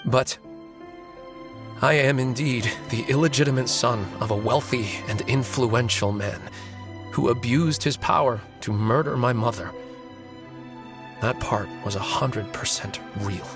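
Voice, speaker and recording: A man acting as a weary male novelist in a dramatic game story, medium in pitch and set over music. He speaks with polite, measured composure, clearly articulated, like someone resigned to confessing his revenge. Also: natural voice. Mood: bitter. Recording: music behind the voice.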